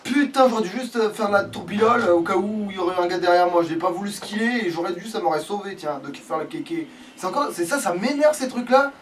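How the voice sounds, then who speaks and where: A man's voice: high-pitched, expressive, fast talker, hardly any pauses. A man doing casual gaming commentary with a friend, grumbling and swearing as he plays. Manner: foul-mouthed, grumbling. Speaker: let's player playing the game. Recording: distant mic, loud background noise.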